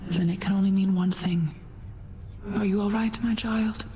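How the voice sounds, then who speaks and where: A woman's voice: medium pitch, fairly even intonation, normal speed, mellow, lightly acted. A woman playing a grave, motherly ancient goddess in a video game, speaking in a formal, stately way. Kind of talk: video game character dialogue.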